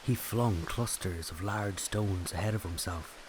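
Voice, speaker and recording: A man's voice: low voice, unhurried, noisy background. A man giving scripted storytelling narration of a mythic legend.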